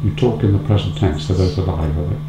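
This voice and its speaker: An older man interviewing a guest, asking soft, unhurried questions. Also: low-pitched, vocal fry, roomy sound, background noise.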